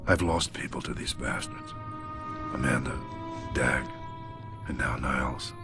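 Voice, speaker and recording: A gruff, resolute captain from a video game, with an extremely deep voice. He talks in terse, commanding phrases while loud music plays over him. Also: a little slow, heavily acted. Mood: consoling. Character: gruff, resolute human military captain, male. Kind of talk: video game cutscene voice acting.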